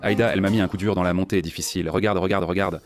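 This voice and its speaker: A man's voice: low voice, fairly even intonation, rapid-fire, faint music behind. A man hosting a cycling vlog, chatting eagerly as he rides and tours a small shop. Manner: chatty, enthusiastic, often repeats "regarde". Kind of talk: casual on-the-ride vlog chat.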